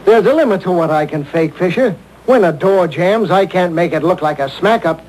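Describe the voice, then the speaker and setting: A corrupt, grumbling city inspector in an old radio drama. His voice is high for a man, with gruff slangy phrasing. It sounds taped from a broadcast. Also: animated, moderate pace, a little mellow, a little hiss or hum, rough recording. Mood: defensive. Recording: home cassette of radio broadcast.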